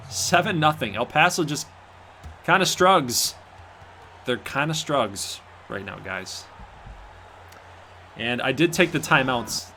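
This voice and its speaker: A man from Michigan with a Michigan accent and a somewhat nasal voice, hosting a live stream of a simulated basketball game. He chats with viewers and jokes around, and gets excited at big plays. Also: mid-range voice, slight background noise. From Michigan, USA. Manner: chatty and joking, talks to the live chat, bursts of excitement at big plays. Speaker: stream host and game commentator.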